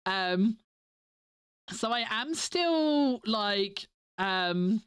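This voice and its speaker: A woman's voice: mid-range voice, lively intonation, very slow, clean tone, dry recording, studio quality. A woman streamer chatting casually to her viewers, stuffy and nasal from a cold, rambling and giggling often. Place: home desk. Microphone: desk mic.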